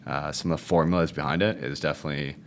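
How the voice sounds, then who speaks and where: Young male guest, Cantonese first language, extremely low voice, loose and chatty delivery. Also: fast, a little hiss or hum. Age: 20s. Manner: relaxed, rambling, fond of the tag "if you will, right?". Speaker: podcast guest, realtor. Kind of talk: casual interview chat.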